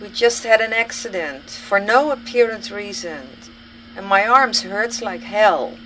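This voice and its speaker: A woman's voice: medium pitch, measured pace, fairly bright tone, lightly acted. Woman acting urgent young female passenger, noisy. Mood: irritated. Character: young woman passenger, worried, practical and sharp-tongued. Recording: clearly noisy recording.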